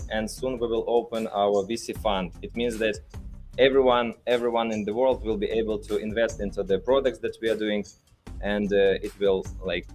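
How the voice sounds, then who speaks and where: A man with a Russian accent, a native Russian speaker, hosting a casual live company podcast with halting, hesitant phrasing. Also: fairly low pitch, darkish tone. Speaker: company leader hosting a livestream. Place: studio built in a hotel room.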